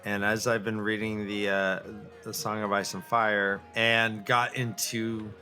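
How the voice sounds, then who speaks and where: A man co-hosting a podcast, chatting casually and jokily in a rambling, halting way. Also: lowish voice, level tone, sharp tone, faint music behind. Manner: jokey, rambling, halting delivery. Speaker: podcast co-host. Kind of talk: casual comedic banter and book chat.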